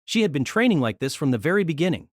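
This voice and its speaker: Expressive novel narrator, lively pitch. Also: racing, no room sound, studio quality.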